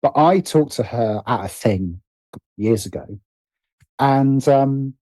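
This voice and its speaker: Middle-aged man, British accent, mellow husky voice, reflective interview talk, hesitant phrasing, dry close sound. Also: mid-range voice, expressive, slow pace, dry recording, poor audio. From Britain. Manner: hesitant, self-interrupting, often rephrasing. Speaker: guest, writer and producer.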